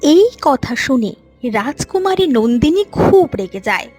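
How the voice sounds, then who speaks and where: A woman with a high voice narrates an animated moral tale. Her delivery is scripted storytelling, with faint music playing behind her. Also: measured pace, slightly rough.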